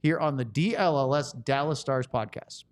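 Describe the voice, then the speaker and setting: A man's voice: medium pitch, normal speed. A man hosting a sports podcast, presenting segments and reading sponsor copy. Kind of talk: podcast hosting and scripted ad reads.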